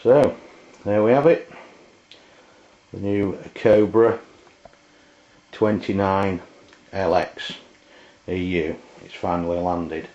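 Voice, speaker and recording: A man reviews a product off the cuff. His delivery is halting and informal, feeling its way from point to point. The sound is dull and lo-fi, like an amateur video. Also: deep voice, steady tone, dark tone. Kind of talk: casual unscripted review.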